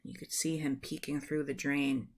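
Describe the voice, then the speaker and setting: A woman in her thirties reading a children's book aloud as a storyteller, deliberate, with drawn-out phrases and small asides to the listener. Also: very deep voice, level tone, a little hiss or hum. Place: small room at home, indoors.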